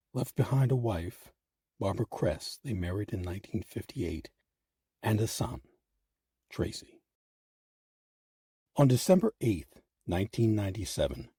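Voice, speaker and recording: A man hosting and narrating a scripted history piece with measured, emphatic phrasing. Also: lowish voice, warm tone, slightly rough, no room sound, studio quality. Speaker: host and narrator. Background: background music.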